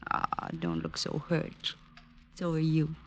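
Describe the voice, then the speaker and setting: A woman's voice: low-pitched, completely flat delivery, takes it slowly, vocal fry, a little hiss or hum, exaggerated voice. An actress playing a glamorous, teasing young woman in a 1950s radio drama. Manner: teasing, affectionate.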